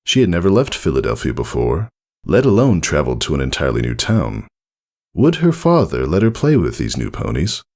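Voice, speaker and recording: A man reading a scripted story aloud as narrator, in a measured, deliberate and expressive way. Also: very deep voice. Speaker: narrator reading fan fiction.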